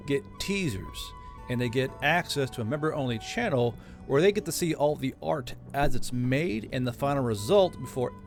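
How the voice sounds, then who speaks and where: A man with a gravelly voice that moves around a lot in pitch, reading his stories and chatting afterward. He rambles, jokes and swears freely in a loose, casual way. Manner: rambling, joking, profane. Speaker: storyteller and channel host. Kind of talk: audiobook narration and casual outro.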